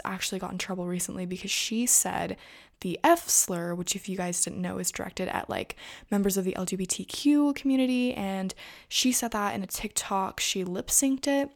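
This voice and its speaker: A young woman talks straight to her viewers in a loose, chatty vlog style, her voice slightly raspy today. She sits in a middle pitch for a woman and keeps the talk rolling with constant "like" and "you know". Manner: chatty, filler-heavy, frequent "like" and "you know". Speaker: influencer news vlogger. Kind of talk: casual gossip commentary to viewers.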